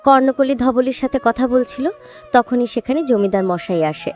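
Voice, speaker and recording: A woman's voice: fairly high pitch, normal speed, a little mellow, soft background music. A woman reading scripted fairy-tale narration as the storyteller.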